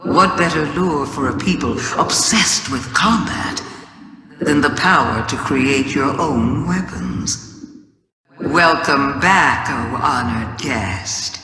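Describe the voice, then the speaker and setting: The villainess of a fantasy game, taunting intruders with slow, theatrical riddles down the long stone halls of her throne world. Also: very deep voice, music in the background. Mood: teasing, ominous. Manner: theatrical, slow, savoring each word. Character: scheming alien witch queen, taunting, theatrical riddler. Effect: cavernous reverb. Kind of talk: dramatic video game monologue. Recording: long reverberant hall echo.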